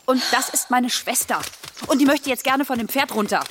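A woman's voice: high voice, moderate pace, fairly bright tone, a little hiss or hum. An actress playing a polite but firm young woman, a protective elder sister, in a radio play.

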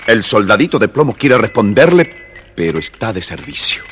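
A man's voice: lowish voice, sing-song, moderate pace, a bit bright, a little hiss or hum. A man narrating a scripted children's story as storyteller.

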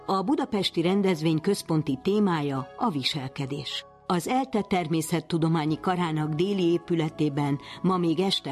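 A presenter of a radio science program, reading a scripted introduction in a measured, informative way. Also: medium pitch.

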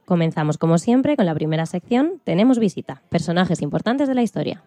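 Mellow, lowish female co-host. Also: sing-song, fairly quick, no room sound. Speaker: radio co-host. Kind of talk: scripted radio presenting.

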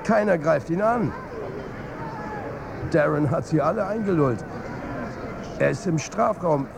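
A man's voice: on the high side, expressive, a little slow, creaky, noisy background. An actor playing a middle-aged, world-weary football coach who tells his own story candidly in colloquial radio drama narration. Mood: excited. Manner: confiding, colloquial. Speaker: narrator and lead character. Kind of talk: intimate first-person radio drama narration.

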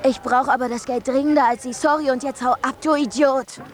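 Schauspielerin als gehetzte, frustrierte Frau, eher hell. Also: female voice, high-pitched, steady tone, a little fast, lightly acted. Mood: desperate, angry. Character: frantic, frustrated young woman worker telling her story. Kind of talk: German radio drama acting and narration. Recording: music behind the voice.

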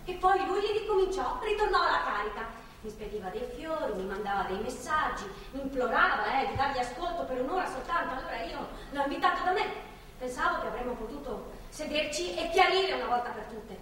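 An actress playing a captive young pregnant woman in a stage drama, bitter, sarcastic and foul-mouthed, speaking in agitated, halting repetitions. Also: sits high, lively intonation, sharp tone. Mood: shaken, bitter recounting. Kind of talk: theatrical stage drama acting. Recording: echoey, distant theatre recording, faint noise.

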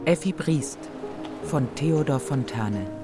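A man's voice: mid-range voice, animated, slow. A man reading scripted credits as an announcer for a radio drama production. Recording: loud music behind the voice. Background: loud music.

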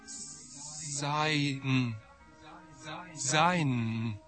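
Weird stammering voice, super slow, bright, faint music behind. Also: male voice, fairly high pitch. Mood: halting. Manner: halting, stammering single words.

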